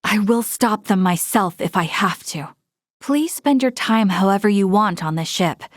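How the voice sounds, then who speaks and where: A woman's voice: medium pitch, lively intonation, moderate pace, no room sound, studio quality, natural voice. A woman playing an earnest young female military officer in a space video game, speaking formally and articulately with resolve. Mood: resolute, then composed. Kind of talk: scripted video game character dialogue.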